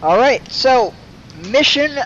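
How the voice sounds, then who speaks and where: A man's voice: very high voice, animated, takes it slowly, a bit bright, highs cut off, slight background noise. A man streaming a video game, thinking aloud as he gives live gameplay commentary.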